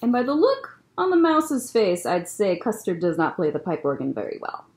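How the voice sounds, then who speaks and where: A woman's voice: mid-range voice, sing-song, moderate pace, darkish tone. A young woman hosting a children's story time, reading a picture book aloud expressively with casual asides. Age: young adult. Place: library room lined with bookshelves, indoors.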